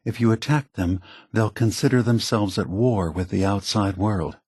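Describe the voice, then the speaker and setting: Husky low voice, solemn synthetic man, weary scheming leader, measured, deliberate, video game, close dry sound. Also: a little mellow, dry recording. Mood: earnest warning. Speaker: voice actor.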